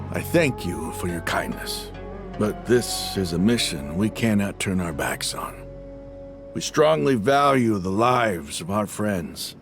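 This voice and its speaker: Gravelly, slow-spoken desert mercenary leader. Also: male voice, fairly low pitch, heavily acted. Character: hard-bitten proud desert mercenary leader, earnest and loyal. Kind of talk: dramatic video game voice acting.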